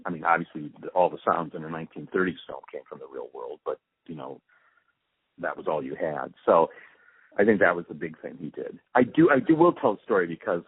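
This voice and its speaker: Male interviewee, low and husky, emphatic storyteller recalling past work, narrow telephone sound. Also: animated, a little fast, dry recording. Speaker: interviewee, radio drama post-production supervisor. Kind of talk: reminiscing in an interview. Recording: muffled phone line, dry.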